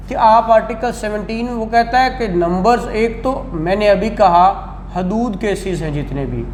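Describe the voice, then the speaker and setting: A man with a Pakistani accent giving a classroom law lecture in a didactic way, asking rhetorical questions and repeating key phrases. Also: sits high. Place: indoor classroom. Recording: echoey classroom with faint noise.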